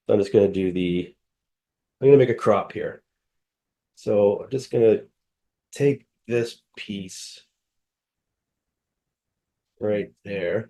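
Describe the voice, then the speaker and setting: Male presenter, nasal from a cold, low warm voice, loose and halting delivery. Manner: casual, hesitant, many fillers and restarts. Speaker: software demonstrator. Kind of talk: screen-share software walkthrough.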